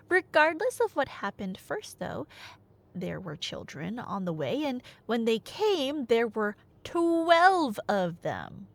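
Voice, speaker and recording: A woman plays a nerdy girlfriend in an ASMR roleplay, rambling through an old myth with wry, teasing asides. Her voice sits on the high side and moves up and down a lot. She takes her time, speaking slowly and conversationally. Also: heavily acted. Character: nerdy rambling girlfriend playfully retelling Greek myths. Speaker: ASMR audio roleplay performer.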